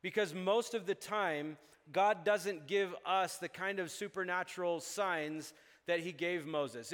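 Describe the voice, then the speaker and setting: Animated man preaching in a reverberant hall. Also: fairly high pitch. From Uganda. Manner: animated, acts out dialogue with chuckles. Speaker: pastor. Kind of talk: sermon.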